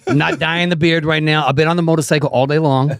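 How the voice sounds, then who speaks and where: A man's voice: a little high, fairly even intonation, fast talker, creaky, smooth, no room sound, clean studio sound. A man in his fifties co-hosting a podcast, chatting casually with joking, self-mocking humor. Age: 50s. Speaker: podcast co-host.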